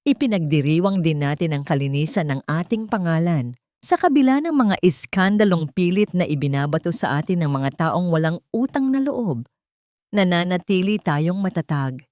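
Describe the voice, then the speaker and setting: A woman's voice: on the low side, big pitch swings, normal speed, a little mellow, dry recording, clean studio sound. A woman voicing a powerful, corrupt patriarch making a pompous audio drama speech with false emotion and authority. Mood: falsely proud.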